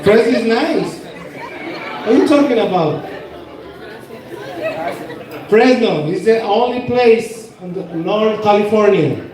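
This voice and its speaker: A Mexican man with a high, mellow voice works a stand-up comedy set in broken English peppered with Spanish slang, mocking himself. He sounds distant from the mic in a very echoey room, with an audience murmuring behind him. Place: comedy club stage.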